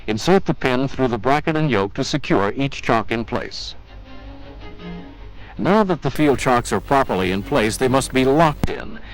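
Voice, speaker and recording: A man's voice: medium pitch, expressive, normal speed, low-quality recording. A man reading scripted instructional narration for a training film in a formal, methodical, step-by-step manner. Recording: 1980s training film soundtrack with music.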